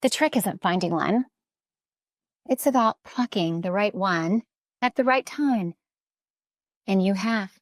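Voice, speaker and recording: A woman's voice: mid-range voice, slow pace, pauses often, no room sound, lightly acted. A woman playing a glamorous, middle-aged rich mother in an audio drama, haughty and barbed under false sweetness. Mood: coolly coaxing. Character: glamorous, acid-tongued rich socialite mother.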